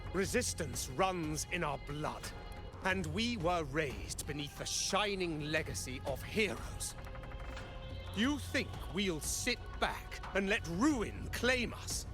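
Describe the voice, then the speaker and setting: An actor playing a proud, defiant citizen fighter in a video game. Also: male voice, a little high, expressive, slow pace. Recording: loud music behind.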